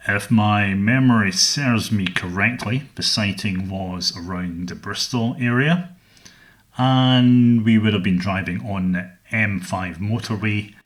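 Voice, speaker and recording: Middle aged scottish guy hosting his own podcast, lowish voice, calm and earnest. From Scotland. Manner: measured, earnest, thoughtful. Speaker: podcast host. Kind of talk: solo podcast narration.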